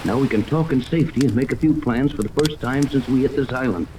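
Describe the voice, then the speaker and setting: An actor playing an earnest, authoritative ship captain in a 1930s radio adventure, explaining things with urgency. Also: male voice, mid-range voice, fast, close to an everyday voice. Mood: urgent. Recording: noisy old 1930s radio, rain-like hiss.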